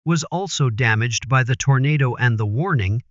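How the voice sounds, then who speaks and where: A man's voice: medium pitch, expressive, normal speed, fairly bright tone, no room sound, muffled recording, natural voice. A man playing a TV news reporter, narrating a scripted report in a grave, formal manner.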